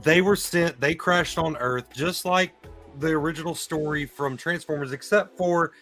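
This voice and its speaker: A man's voice: fairly high pitch, moderate pace, a bit bright, faint music behind. A man hosting a casual live-streamed fan chat, explaining things in an enthusiastic, rambling way. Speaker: podcast host.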